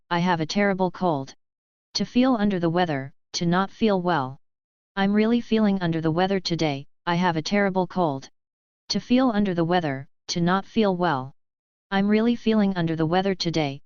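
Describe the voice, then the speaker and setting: A woman slowly reading English idioms with their meanings and example sentences, repeating each one. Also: fairly low pitch, a little mellow, clear, resonant tone, dry recording. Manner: reads each line plainly and repeats it. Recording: dull, slightly lo-fi voice track.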